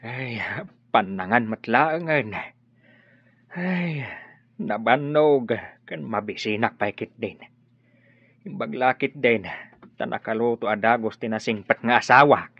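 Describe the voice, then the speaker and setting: An actor playing a tired elderly husband and father with a husky voice in a radio drama. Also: mid-range voice, takes it slowly, no room sound. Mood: weary, fond. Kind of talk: Ilocano radio drama acting. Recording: muffled, roomless radio recording over music.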